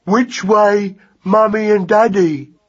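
Husky, simple young giant mouse. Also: male voice, high-pitched, steady tone, highs cut off, pitched above the performer's own voice, heavily acted. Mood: pleading, polite. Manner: slow, broken baby-talk phrases. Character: giant young male mouse, simple-minded, earnest, childlike.

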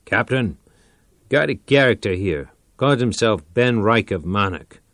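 A man voicing a gruff, dismissive police officer who speaks in blunt, tough street talk. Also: lowish voice, lively intonation, unhurried, fairly bright tone, no room sound, pitched above the performer's own voice. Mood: curt.

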